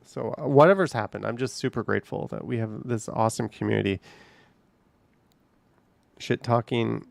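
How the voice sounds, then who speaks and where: Guy rambling on his home livestream, kinda gravelly, dry close sound. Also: mid-range voice, normal speed, long pauses, no echo. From Canada. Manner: rambling, chatty, laughs easily. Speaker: livestream host reading chat. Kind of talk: casual livestream chat.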